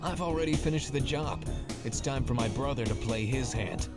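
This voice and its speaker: A man's voice: medium pitch, moderate pace, natural voice. A man voicing a cool, bitter, vengeful thief in a video game, sardonic and menacing. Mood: grim. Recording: loud music behind the voice.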